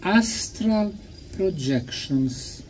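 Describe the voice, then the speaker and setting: A man giving a public talk in short, deliberate, emphatic phrases, pausing often for an interpreter. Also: sits high. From Bosnia. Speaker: lecturer. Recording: clear steady background noise.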